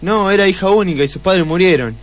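An actor playing a nervous, defensive husband under questioning in a radio play, hesitant and stammering. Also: male voice, high voice, fast, no echo, highs cut off, close to an everyday voice. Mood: puzzled, uneasy. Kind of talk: Spanish-language radio play acting.

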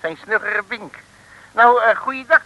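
An actor playing a sly, cheeky detective in an old Dutch comic radio serial, talking slangy mock pidgin as a pretend mandarin. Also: male voice, high voice, a little slow, bright, forceful tone, muffled recording. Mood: cheeky, scheming.

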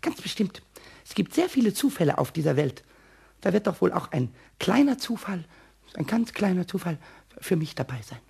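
Very raspy, cheeky little kobold. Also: male voice, big pitch swings, moderate pace, darkish tone, heavily acted. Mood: defiant scheming. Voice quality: squeaky, raspy, creaky. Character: small cheeky male kobold, scheming and defiant. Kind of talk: German radio play acting.

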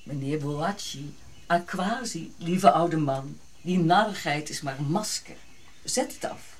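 A woman's voice: deep voice, takes it slowly. A middle-aged woman playing a pushy colonial society lady in a radio drama, gushing, grand and coquettish. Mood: flirtatious, gushing.

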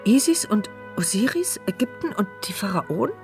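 A woman's voice: mid-range voice, big pitch swings, normal speed, over music. An actress playing an injured woman who narrates her thoughts in a wry, wary inner monologue for a radio crime drama. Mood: puzzled. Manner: inward, sardonic monologue.

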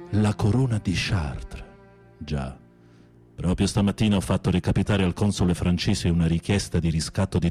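An actor in an Italian radio drama playing a sensitive prisoner with a literary, dramatic, expressive way of speaking. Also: male voice, sits low, close to an everyday voice. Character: theatrical, sensitive male prisoner telling melodramatic tales.

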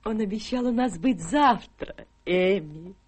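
An actress in a radio play voicing a doting, excitable middle-aged mother with a gushing manner. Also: medium pitch, a little slow, husky. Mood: kindly. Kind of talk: theatrical radio play acting.

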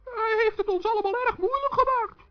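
A kindly, worried little gnome in a children's radio play. He talks earnestly in a very high, tiny voice, always trying to smooth things over. The recording is old and dull. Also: a little fast. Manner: earnest, fretful, conciliatory.

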